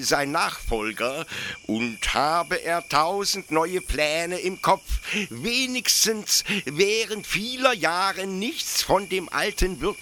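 A man's voice: on the high side, bright, forceful tone, slight background noise, full character voice. A man playing a zealous, rambling army officer in a radio play, stammering and hesitant with nervous false starts. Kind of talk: German radio play acting.

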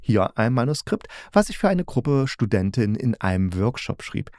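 A man's voice: mid-range voice, sing-song, moderate pace, a little mellow, no room sound, clean studio sound. A man hosting a podcast review, opinionated and emphatic, with colloquial, sarcastic phrasing. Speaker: podcast host and audio drama critic.

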